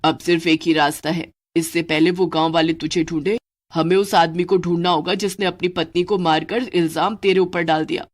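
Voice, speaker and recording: A woman's voice: fairly low pitch, fairly even intonation, moderate pace, voice pushed down, natural voice. Loyal, bossy cartoon witch who nags her friend, played by a woman. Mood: earnest. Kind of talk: cartoon voice acting.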